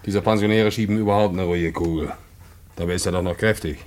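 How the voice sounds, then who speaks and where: An actor playing a suspicious, dogmatic pub landlord in a German radio drama, preachy and self-righteous. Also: male voice, deep voice, steady tone, quick pace, a little mellow, natural voice. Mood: sneering, gossipy.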